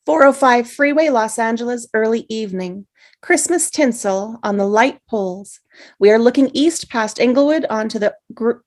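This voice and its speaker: A woman narrating the stage directions in a table read, with steady, measured script reading. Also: a little high. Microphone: video call.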